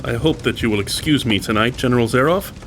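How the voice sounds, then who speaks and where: A man's voice: medium pitch, fast, fairly bright tone, slight background noise, close to an everyday voice. Guy acting a tired uneasy polite guest, medium voice, kinda subdued, faint rain behind. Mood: uneasy, weary. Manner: subdued, courteous. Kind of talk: dramatized audiobook acting.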